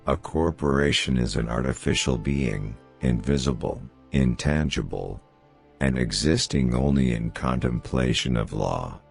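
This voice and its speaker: A man reads famous quotations as a scripted narration, in a measured, formal manner. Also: a bass voice, vocal fry, breathy edge. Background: background music.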